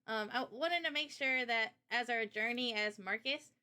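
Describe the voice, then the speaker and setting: A woman with a slightly high voice talks in a relaxed livestream presentation style. She explains her work as a game developer in informal, slangy language. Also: normal speed, clean tone. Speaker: game developer presenting a visual novel project.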